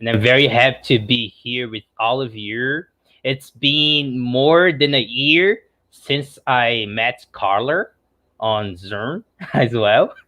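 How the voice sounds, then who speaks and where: Slow-talking man. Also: medium pitch, pushed and bright. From Brazil. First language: Portuguese. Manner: earnest and reflective. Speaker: regular participant. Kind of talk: casual self-introduction. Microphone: computer mic on a video call.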